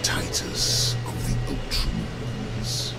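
A man voicing an ancient, coldly gloating Chaos lord in a video game, grandiose and sinister, gravelly and breathy at times. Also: takes it slowly, over loud music, lightly acted. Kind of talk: video game villain acting.

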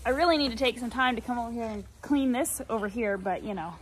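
A woman's voice: fairly high pitch, quick pace, a little hiss or hum. Woman farmer, 30s, casual vlog to a handheld phone outdoors; chatty, rambling, a little worn out. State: sore and tired. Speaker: farmer vlogger. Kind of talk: casual vlog chat to camera. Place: outdoors on a farm, by fields and a cattle barn. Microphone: phone held at arm's length.

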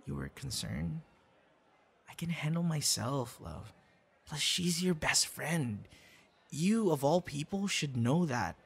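A man's voice: a little high, animated, slow, vocal fry, breathy edge, full character voice. A man acting a shy, kind young boyfriend in an ASMR roleplay, speaking conversationally with hesitations and stammers. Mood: annoyed, hurt.

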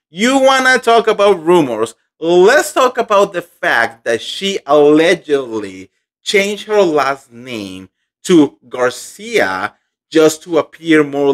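A man, hosting an animated, indignant gossip commentary vlog into a studio microphone at home. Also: fairly high pitch. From Colombia. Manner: animated, indignant, emphatic repetition. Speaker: pop culture gossip host. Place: home room indoors. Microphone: studio microphone on boom arm.

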